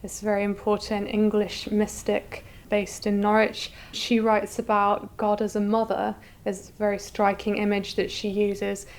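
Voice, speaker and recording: A woman curator gives articulate explanations in an interview. Her voice is clean and resonant, at a middling pitch.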